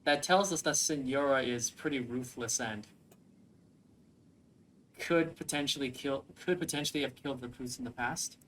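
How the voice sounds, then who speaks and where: A man's voice: medium pitch, normal speed, fairly bright tone, slight background noise, low-quality recording. A man giving casual let's play commentary, thinking aloud as he plays. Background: game music.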